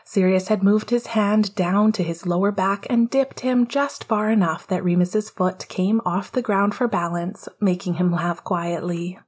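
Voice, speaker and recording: A woman reading fiction aloud as a scripted narration, with a husky, breathy voice and a soft, gentle storytelling manner. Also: medium pitch, fairly even intonation, measured pace, hardly any pauses. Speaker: podfic reader.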